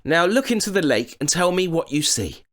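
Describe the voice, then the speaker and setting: A man voicing a brave, clever young boy in a children's story, calm and confident. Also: on the high side, normal speed, voice pushed up. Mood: instructing.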